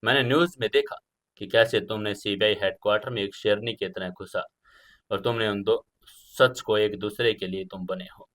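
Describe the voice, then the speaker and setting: A man's voice: fairly low pitch, fairly even intonation, normal speed, fairly bright tone, close to an everyday voice. A man voicing an anxious, caring older-sister woman in an emotional, sobbing audio story. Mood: tearful, grateful.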